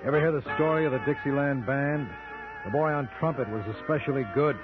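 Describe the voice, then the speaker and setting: An actor playing a cynical, wisecracking American lawyer-sleuth in an old-time radio detective drama, with a dry, sardonic delivery. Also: male voice, a little high, brisk, close to an everyday voice. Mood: wry. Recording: dull old radio broadcast with music.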